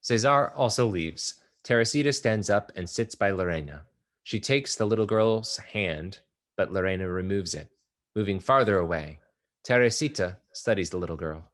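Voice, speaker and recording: A man reads the stage directions of a play in a steady, measured way. His voice is very low, and faint music plays underneath. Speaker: narrator reading stage directions. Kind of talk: play table read narration.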